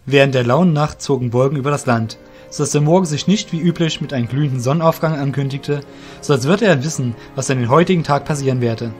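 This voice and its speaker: A man's voice: medium pitch, fast talker, a little mellow. Male audio drama narrator over music. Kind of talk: scripted storybook narration.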